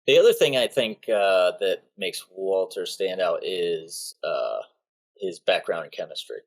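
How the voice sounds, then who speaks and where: A man's voice: sits low, lively intonation, a little slow. A man co-hosting a podcast, chatting in an easygoing way with frequent hesitations. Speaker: podcast co-host. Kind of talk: casual chat.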